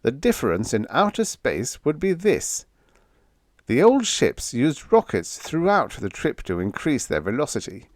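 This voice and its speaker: A man's voice: mid-range voice, huge pitch swings, a little slow, dry recording, clean studio sound, voice pushed up. A man voicing a confident, persuasive rocket company boss in an audiobook, explaining and rallying like a speechmaker. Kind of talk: audiobook character dialogue.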